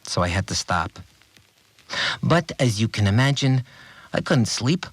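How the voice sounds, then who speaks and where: An actor playing an obsessive, resentful cleaner confiding in a dramatic audio diary, agitated and brooding. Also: male voice, medium pitch, big pitch swings, a little slow, slightly rough, no echo. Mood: weary frustration. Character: obsessive, unhinged male cleaner, seething with murderous resentment. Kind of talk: dramatic first-person audio diary monologue.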